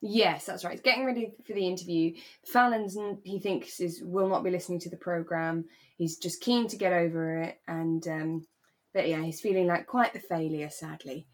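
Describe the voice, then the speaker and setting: A woman with a slightly low voice chats as a podcast co-host. Her delivery is relaxed, conversational and agreeable. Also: normal speed. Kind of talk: relaxed, casual chat recapping a radio drama.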